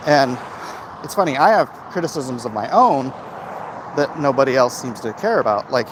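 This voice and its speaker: A man chatting casually and giving opinions in a halting way, as if hosting a livestream. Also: mid-range voice, expressive, noisy background. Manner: halting, frequent throat clearing. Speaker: livestream host answering viewer comments.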